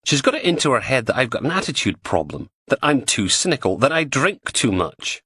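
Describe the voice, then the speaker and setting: A man's voice: mid-range voice, sing-song, brisk, sharp tone, husky, pitched above the performer's own voice, exaggerated voice. A man voicing a weary, cynical male detective who grumbles sardonically. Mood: grumbling.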